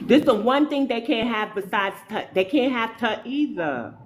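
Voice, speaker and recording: A woman's voice: a little high, expressive, normal speed, slight background noise. A woman with a New York accent hosting a livestream, talking straight to her chat in an opinionated, slangy way. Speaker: livestream host. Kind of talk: casual livestream talk.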